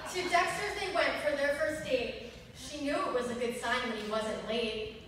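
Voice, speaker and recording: A woman's voice: high voice, moderate pace, a bit bright. A young woman reading a scripted rhyming bridesmaid speech at a wedding reception. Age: young adult. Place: large wood-walled indoor reception venue. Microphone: mic on a stand, picked up by far camera. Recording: echoey hall, distant camera, noisy room.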